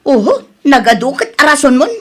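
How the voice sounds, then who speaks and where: A woman playing a resentful, nagging wife and mother in an Ilocano radio drama, sharp-tongued and accusing. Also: high voice, big pitch swings, moderate pace. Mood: angry, accusing.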